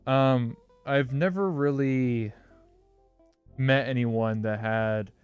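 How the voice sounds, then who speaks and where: A man's voice: mid-range voice, slow, smooth, no room sound, highs cut off, soft background music. A young man hosting an interview, telling a story casually and rambling, with "like" in nearly every phrase. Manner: rambling storyteller, heavy use of "like". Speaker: interview host. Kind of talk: casual chat.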